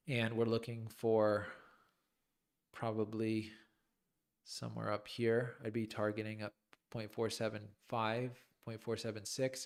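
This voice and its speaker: A man with a fairly low voice gives live trading commentary as he works. His voice is a little worn, as if he is losing it, and he talks informally, piecing his thoughts together as he goes. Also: vocal fry. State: losing his voice, clearing his throat. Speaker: crypto trading streamer. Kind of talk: live chart analysis commentary, thinking aloud.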